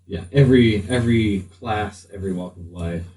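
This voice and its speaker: A man running a tabletop game as game master, narrating off the cuff with pauses mid-sentence. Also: lowish voice, a little mellow. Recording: echoey, noisy room, distant mic.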